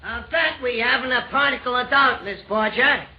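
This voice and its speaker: Muffled radio, sardonic fiend. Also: male voice, extremely high pitch, moderate pace. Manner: mock-polite, theatrical rhyming. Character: haughty male fiend taunting in hell's council. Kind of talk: 1930s radio verse comedy acting. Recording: dull old-time radio broadcast recording.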